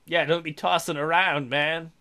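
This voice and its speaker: Guy with a high bright voice doing a let's play, reading the game text then goofing on it, cheeky and irreverent. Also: fairly even intonation, normal speed. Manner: irreverent, playful, mocking. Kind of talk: gaming commentary, reading visual novel aloud with crude joking asides.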